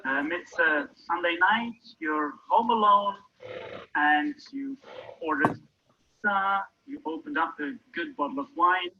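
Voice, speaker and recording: A man's voice: on the high side, a little slow, slight background noise. A man presenting a workshop, walking the audience through a quiz step by step. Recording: muffled lo-fi recording.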